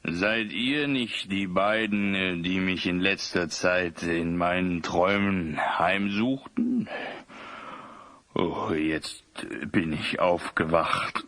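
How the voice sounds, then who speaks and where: A man playing a drowsy, grumpy giant in a German radio play, talking in a ponderous, hesitant, drawn-out way. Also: deep voice, fairly bright tone, dry recording. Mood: drowsy, bewildered. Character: drowsy, grumpy giant who loves his sleep, plagued by nightmares.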